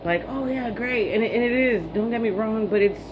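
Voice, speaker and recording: A woman's voice: fairly high pitch, fairly quick. A woman in her thirties, chatting in a laid-back, slangy way with casual swearing, from a small hotel room on a phone camera held off to the side. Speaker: vlogger. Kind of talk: casual chat. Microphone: phone camera held by her partner, off to the side. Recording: dull, noisy phone recording.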